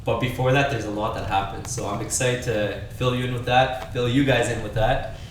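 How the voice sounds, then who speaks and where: A young man from Austria in his twenties, hosting a casual podcast chat in a relaxed, laid-back way. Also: medium pitch, brisk, far from the mic, a little hiss or hum. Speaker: podcast host. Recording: very echoey room, off-mic.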